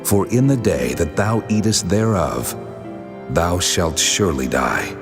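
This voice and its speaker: A man playing God as a stern, majestic deity, speaking solemn, deliberate pronouncements in a dramatized scripture reading. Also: sits low, sing-song, exaggerated voice. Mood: solemn, commanding. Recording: long cavernous reverb, music underneath.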